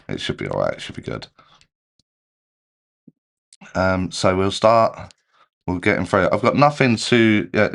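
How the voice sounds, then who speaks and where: Male live-stream host with a deep voice, congested with a cold, casual and unhurried. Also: vocal fry. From United Kingdom. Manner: relaxed, rambling, checks audio as he goes. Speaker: medium hosting a live Q&A.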